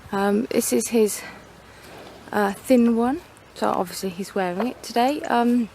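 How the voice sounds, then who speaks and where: A woman with a cold, hoarse and croaky, chatting through a casual review with many hesitations, outdoors at a horse yard. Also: fairly high pitch, a little slow, dark tone, a little hiss or hum. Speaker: horse owner vlogger.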